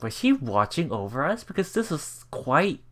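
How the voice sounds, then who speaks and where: A let's player reading a visual novel aloud in a halting way, with emotionally invested asides. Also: medium pitch, sing-song, background noise. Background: game music.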